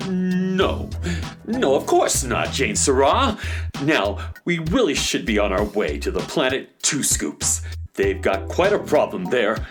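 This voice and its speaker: A man's voice: medium pitch, big pitch swings, takes it slowly, over music. A man playing a cheerful, patient space handyman in a children's audio drama, earnest and a little bumbling. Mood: mild, patient. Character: cheerful, patient space handyman, male boss and problem-solver.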